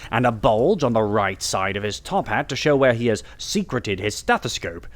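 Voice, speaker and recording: A man's voice: fairly low pitch, animated, moderate pace, natural voice. A man playing a brilliant, self-assured Victorian detective in an audiobook, lecturing in a precise, theatrical way. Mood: brisk, explanatory. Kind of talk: audiobook character acting.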